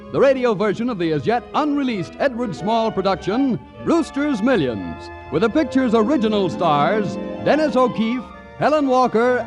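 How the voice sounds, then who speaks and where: An American man announcing a scripted radio show in grand, showy 1940s style. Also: high-pitched. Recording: vintage radio broadcast. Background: music.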